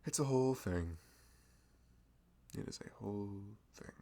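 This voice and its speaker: A man's voice: measured pace, mellow. A man playing a sweet, bashful young male florist in a chatty, hesitant ASMR roleplay, with a velvety voice. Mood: bashful, sincere. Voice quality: velvety, low, creaky. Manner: chatty, hesitant, rambling, self-deprecating. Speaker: ASMR voice performer. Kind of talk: ASMR roleplay, improvised casual chat.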